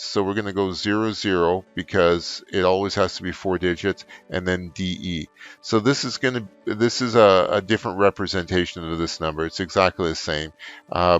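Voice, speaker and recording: Middle aged guy doing a software walkthrough, low clear voice, lots of umming, bit of music. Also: muffled recording. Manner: halting, frequent filled pauses. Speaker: trainer, tutorial presenter. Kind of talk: unscripted software tutorial, step-by-step explaining. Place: office room indoors.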